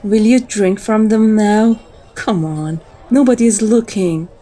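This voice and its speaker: A woman acts out a female vampire, seductive and commanding yet devoted. She speaks very unhurriedly in a soft-edged, dark timbre, with the intimate, poetic delivery of an ASMR roleplay. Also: mid-range voice, unhurried, close to an everyday voice. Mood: coaxing, playful.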